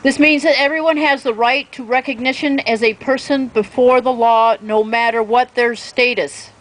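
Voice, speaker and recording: A woman's voice: a little high, fairly even intonation, moderate pace, poor audio. A woman reading and paraphrasing formal statements aloud at an outdoor public event. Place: outdoor town plaza. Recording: outdoor event with street traffic noise.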